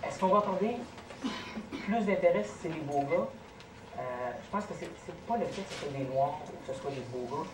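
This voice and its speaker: A man with a Québécois accent, answering audience questions in a measured, explanatory way as a guest speaker at a lecture. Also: fairly high pitch, lively intonation. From Quebec. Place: college lecture room. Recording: echoey, noisy college room, distant mic.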